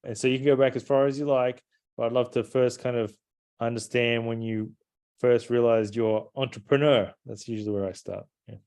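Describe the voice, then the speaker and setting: A man hosting a podcast interview in a casual, halting way, repeating words as he forms questions. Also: mid-range voice, steady tone, darkish tone. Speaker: podcast host.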